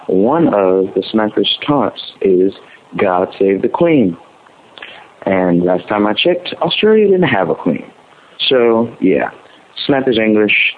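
A man leaving a casual, opinionated voicemail over the telephone. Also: fairly low pitch. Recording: tinny noisy phone line.

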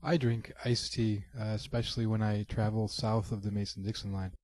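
A laid-back man in his twenties from Michigan gives brief answers as a guest. His voice is low and mellow. The sound is dry. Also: flat delivery, moderate pace, no echo. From Michigan, USA. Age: 20s. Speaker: band bassist, guest. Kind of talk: casual interview.

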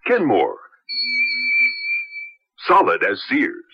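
A man's voice: lowish voice, wildly swooping pitch, drawn-out words, loud music behind the voice. A man announcing a scripted 1970s radio advertisement. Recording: muffled radio broadcast, faint noise.

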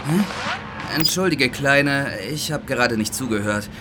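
A man's voice: medium pitch, unhurried, noisy background, lightly acted. A man playing a bored, absent-minded train conductor in a children's audio drama. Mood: distracted. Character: bored, easily absorbed male train conductor.

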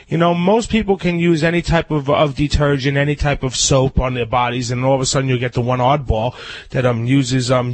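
A man with a stuffy, nasal voice from a cold, giving pet grooming advice in chatty, animated radio talk. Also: mid-range voice, slightly rough, no room sound, poor audio. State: stuffy nose. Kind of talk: radio chat.

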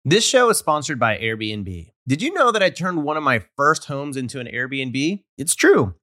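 Male host for sponsor read, bright, crisp-edged tone, dry and close. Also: fairly high pitch, no echo. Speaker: podcast host.

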